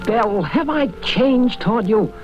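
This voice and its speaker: A hardening young businessman in a vintage radio play, defensive and earnest. The pitch moves widely through questioning phrases. Faint music lies under a dull old recording. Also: animated, unhurried, darkish tone. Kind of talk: 1930s radio drama acting.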